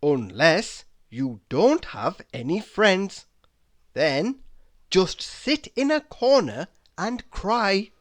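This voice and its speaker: A person reading mock-inspirational quotes aloud in a deliberate, dramatic manner, pausing between phrases. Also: mid-range voice, big pitch swings. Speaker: reader of quotes.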